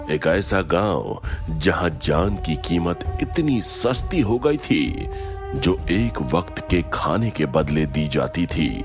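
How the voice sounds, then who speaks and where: A man delivering scripted commercial and narration voice-over in a polished, deliberate, dramatic way. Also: low voice. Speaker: voice-over artist. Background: music bed.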